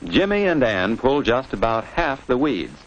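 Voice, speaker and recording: A man's voice: mid-range voice, sing-song, unhurried. A man reading scripted narration for a children's instructional film in a didactic way, posing questions and answering them. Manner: didactic, asking and answering his own questions. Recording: noisy old educational film soundtrack.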